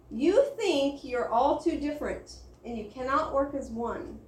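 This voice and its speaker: A woman voicing a gentle, loving mother star who softly pleads with the animals in a storybook reading. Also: fairly high pitch, far from the mic, slight background noise. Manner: soft, pleading. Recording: roomy echo.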